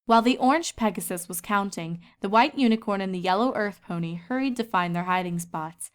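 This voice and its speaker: A woman's voice: medium pitch, a little fast. A woman reading scripted story narration as a fan fiction reader.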